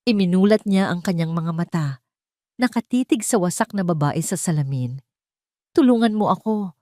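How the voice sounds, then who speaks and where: Low-voiced woman narrating a dramatic story. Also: lively intonation, moderate pace, warm tone, dry recording, studio quality.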